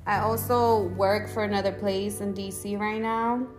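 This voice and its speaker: A woman's voice: medium pitch, steady tone, moderate pace, faint music behind. A woman in her twenties, born in Peru, co-hosting a podcast in a chatty, giggly, casual way. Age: 20s. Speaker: podcast co-host. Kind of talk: casual chat.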